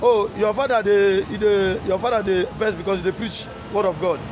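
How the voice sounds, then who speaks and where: A man's voice: high-pitched, fairly even intonation, normal speed. A man with a Nigerian accent asking short, informal interview questions and repeating answers back as questions. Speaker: interviewer behind the camera. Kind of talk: informal street interview in Pidgin. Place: outdoors by a street. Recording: noisy outdoor phone video.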